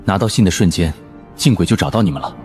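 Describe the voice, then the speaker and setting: Cool, very deep-voiced young survivor played by a man. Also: expressive, fast talker, a little mellow. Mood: cool, probing. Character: cool, calculating young male exam survivor. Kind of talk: animated web drama dialogue. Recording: background music under dialogue.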